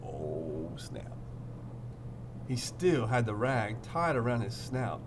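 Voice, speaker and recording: A man's voice: mid-range voice, very animated, slow pace, background noise. A man teaching through a storybook read-aloud, playful and theatrical, repeating phrases and chatting to his pupils.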